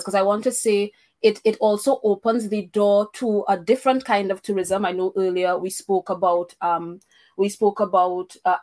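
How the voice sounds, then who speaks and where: Female discussion host from Trinidad and Tobago, halting delivery with frequent restarts. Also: mid-range voice. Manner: hesitant, many ums and restarts. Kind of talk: casual discussion.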